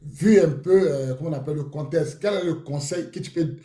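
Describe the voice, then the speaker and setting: A man's voice: on the high side, brisk, mellow, roomy sound. A man hosting a live talk room, chatty and repetitive as he probes a caller with questions. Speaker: host. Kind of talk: live audio room moderation.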